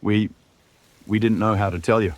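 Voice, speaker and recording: A man voicing an earnest, protective young hero in a fantasy story, urgent and halting when feelings rise. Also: lowish voice, fairly even intonation, close to an everyday voice. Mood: apologetic.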